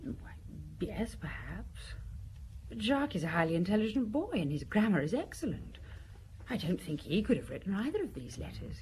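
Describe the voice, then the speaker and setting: An actress playing a candid, composed secretary under questioning in an audio drama, hesitant and confiding, sometimes dropping to a breathy near-whisper. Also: female voice, medium pitch, big pitch swings, normal speed, darkish tone, a little hiss or hum. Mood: composed, fond.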